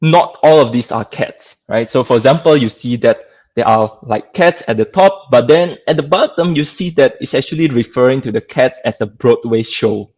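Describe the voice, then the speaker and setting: A man with a Singaporean accent presents an informal technical lecture in a conversational way, often tagging his points with a checking "right?". His voice sits at an average pitch. The sound is slightly dull and lo-fi, like online call audio. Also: lively intonation, moderate pace, darkish tone. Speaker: guest speaker, lecturer.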